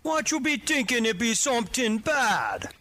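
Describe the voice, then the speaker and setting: A man's voice: very high voice, wildly swooping pitch, moderate pace, sharp tone, a little hiss or hum, heavily acted. A man playing a cheerful, teasing troll shaman with a mock Caribbean accent in a fantasy audio drama. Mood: amused.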